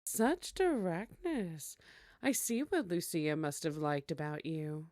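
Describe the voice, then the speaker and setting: Woman voicing a flirty vampire castle hostess, slow n lowish, super close dry sound, asmr roleplay. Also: darkish tone, no room sound. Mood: flirtatious. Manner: coy, formal, flirtatious. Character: teasing, courtly female vampire hostess at a castle. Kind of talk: scripted ASMR roleplay acting.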